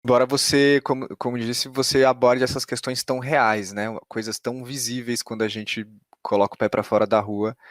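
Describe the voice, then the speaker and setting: A man with a Brazilian accent hosts a conversational podcast interview. His voice is on the low side and recorded close and dry, with no room sound. He builds his questions carefully and reflectively. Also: a bit bright, no room sound.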